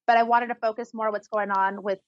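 A woman's voice: on the high side, flat delivery, brisk, dry recording, muffled recording. A woman talking casually and eagerly, rambling with frequent restarts. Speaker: herbalist guest. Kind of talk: casual conversation.

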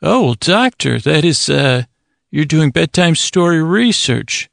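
A man acts out a polite, eager receptionist from a comic bedtime story, pitched well up high. The manner is chirpy and courteous, with small hesitations, over a creaky grain in a dry, close recording. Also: very animated, measured pace, a bit bright, no room sound, exaggerated voice. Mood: polite, helpful.

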